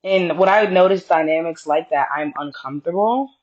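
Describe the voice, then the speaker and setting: A woman co-hosting a podcast in casual conversation, with a deeper voice and a reserved, straightforward way of talking. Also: moderate pace, darkish tone, dull audio. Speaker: podcast co-host.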